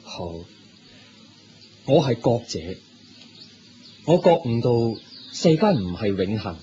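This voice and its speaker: An actor with a Hong Kong Cantonese accent playing the Buddha as a serene, kindly teacher in a radio drama, speaking in a measured, reassuring way. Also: male voice, medium pitch, very animated, a little hiss or hum. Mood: solemn teaching. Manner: measured, instructive, gently reassuring. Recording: muffled lo-fi radio recording, faint music.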